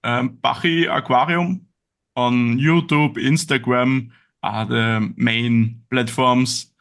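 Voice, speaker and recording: Austrian man in halting English. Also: medium pitch, fairly even intonation, a bit bright. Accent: Austrian German. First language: German. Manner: halting English, searching for words, frequent restarts. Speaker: guest. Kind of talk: casual interview chat.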